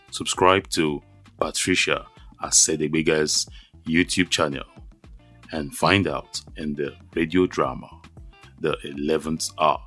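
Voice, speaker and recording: Very deep theatrical male announcer. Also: a bit bright. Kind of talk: promotional drama outro.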